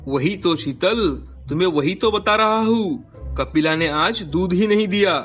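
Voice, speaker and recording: Man acting slow-spoken village farmer husband. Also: high voice, a bit bright, faint music behind. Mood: puzzled, excited. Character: plain village farmer husband, baffled and doubting. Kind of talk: scripted Hindi moral story acting.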